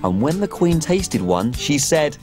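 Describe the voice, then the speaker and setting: A playful children's show storyteller. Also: female voice, low voice, a little slow, over loud music. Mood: cheerful. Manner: playful, expressive. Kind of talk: scripted storybook narration.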